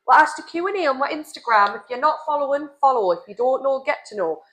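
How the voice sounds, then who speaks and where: A woman's voice: high-pitched, fast, rough recording. A young woman with a North East English accent co-hosting a casual chat, joking and laughing often. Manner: lively, laughing, jokey. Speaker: podcast co-host, salon owner.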